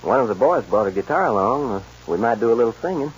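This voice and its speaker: Guy acting brisk friendly ranch foreman, lively up and down voice, noisy old radio western. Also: mid-range voice, muffled recording, natural voice. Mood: concerned, friendly. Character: level-headed, sociable ranch foreman Marty.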